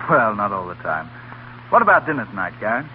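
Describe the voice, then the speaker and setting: An actor playing an affable young doctor in a 1930s radio drama, with a breezy, wry delivery. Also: male voice, on the low side, sing-song, a little fast, a little hiss or hum. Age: young adult. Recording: tinny 1930s radio broadcast with music.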